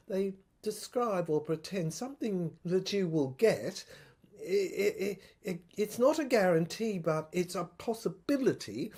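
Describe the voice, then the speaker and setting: A woman playing a troubled soldier in an audio drama monologue, thinking aloud in a halting, stammering, husky voice. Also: mid-range voice, warm tone, full character voice. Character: troubled soldier piecing together a dead professor's secret diary.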